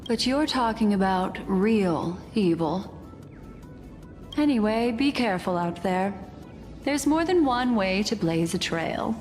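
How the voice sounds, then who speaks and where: An actress playing a composed, enigmatic woman in a video game, speaking in a measured, knowing, formal way. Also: medium pitch, lively intonation, darkish tone. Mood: calm, cautionary. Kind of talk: video game character dialogue. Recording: long reverb, music behind. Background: game music.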